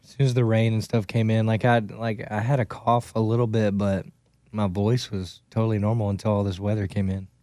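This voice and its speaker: Hoarse man with a smooth voice. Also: lowish voice, flat delivery, brisk, darkish tone, no echo, clean studio sound. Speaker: podcast co-host. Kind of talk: casual sports podcast chat.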